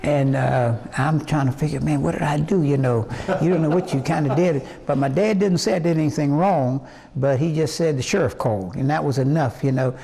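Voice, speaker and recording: A man in his eighties from West Virginia, a singer telling his life story in an interview in a halting, rambling way full of fillers and restarts. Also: on the high side, vocal fry, mellow. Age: 80s. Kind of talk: reminiscing interview answers.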